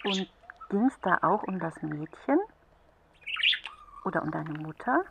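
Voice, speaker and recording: A woman acting in a children's radio play as a patient, caring helpline counsellor who questions gently and attentively. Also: on the low side, expressive, measured pace, gravelly, very noisy.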